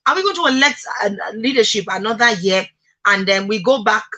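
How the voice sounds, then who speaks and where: A woman's voice: mid-range voice, animated, a little fast, sharp tone. A woman with a Nigerian accent hosting a radio discussion, speaking emphatically and putting rhetorical questions to listeners. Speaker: radio talk show host.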